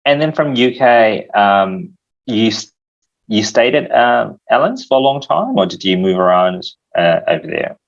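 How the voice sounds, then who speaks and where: A man hosting a podcast interview, asking questions with frequent ums and pauses. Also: lowish voice, very animated, gravelly, muffled recording. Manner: hesitant, lots of ums between phrases. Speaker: podcast host.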